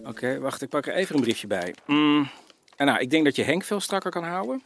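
A man's voice: on the high side, sing-song, fairly quick, loud music behind the voice. An actor playing an eager, opinionated male quiz-show veteran in a Dutch radio comedy, rambling enthusiastically.

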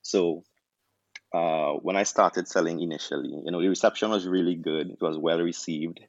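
A young man with a Trinidadian accent, a doctor turned chef, telling his story in a relaxed interview with many restarts. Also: low voice, normal speed, creaky, no room sound, muffled recording. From Trinidad and Tobago. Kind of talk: casual interview answers.

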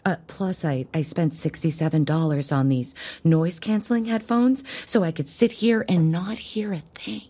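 An actress playing a prim, bossy, judgmental church youth leader in a comedic audio drama, sweetly stern and passive-aggressive with dramatic pauses. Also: female voice, lowish voice, mellow, big-room sound, lightly acted. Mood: suspicious, self-satisfied.

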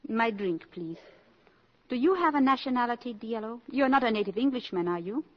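An actress playing a shrewd, imperious countess in a 1950s radio drama, poised, teasing and commanding. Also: female voice, a little high, expressive, brisk. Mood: cool, curious. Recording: dull 1950s radio broadcast recording.

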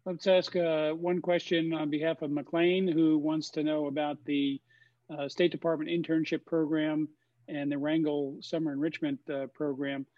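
Man hosting a Q&A, halting and dry. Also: a little high, little pitch movement, no room sound. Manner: halting, many fillers. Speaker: host of a webinar Q&A. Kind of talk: casual moderated Q&A. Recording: dry, dull lo-fi sound.

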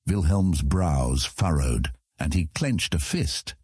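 A man narrating a fantasy audiobook in a measured, dramatic storytelling manner. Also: a bass voice, animated, darkish tone, husky, no room sound, studio quality.

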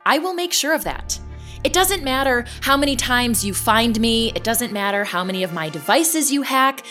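An actress playing a determined podcast host who earnestly addresses listeners and defies a stalker in an audio drama. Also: female voice, on the high side, animated, normal speed, sharp tone, soft background music. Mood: defiant.

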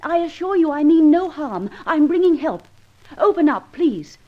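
An actress playing the kindly, urgent accomplice of a gentleman adventurer in an old radio drama, earnest, insistent and polite. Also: sits high, very animated, normal speed. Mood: reassuring. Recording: dull, lo-fi old radio recording.

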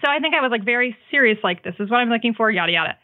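A woman chatting casually as a podcast guest, telling stories in an animated way and slipping into quoted dialogue. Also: on the high side, rapid-fire. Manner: animated retelling with acted-out quotes, frequent "like". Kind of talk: casual chat, storytelling. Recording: muffled, phone-like band-limited sound.

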